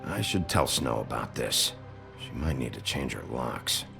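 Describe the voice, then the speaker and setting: An actor playing a gruff, weary sheriff detective in a noir video game, with a gravelly voice and a terse, blunt manner. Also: male voice, lively intonation, measured pace, music in the background, exaggerated voice. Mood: terse, matter-of-fact. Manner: terse, blunt, low-key. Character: gruff, weary adult male fairy-tale sheriff detective.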